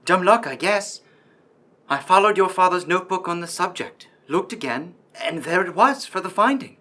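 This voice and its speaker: An earnest lieutenant in a radio drama, played by a man. His voice is bright and a little high, and he speaks with modest, formal courtesy. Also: lively intonation, normal speed. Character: earnest, courteous army lieutenant, newly engaged suitor. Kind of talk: radio play acting.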